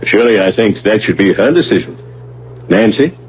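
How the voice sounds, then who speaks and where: A man's voice: fairly low pitch, expressive, moderate pace, natural voice. An actor playing a pompous, authoritative male archaeology professor in an old-fashioned radio drama, formal and declamatory. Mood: measured, scholarly. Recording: dull, hissy old radio broadcast with hum.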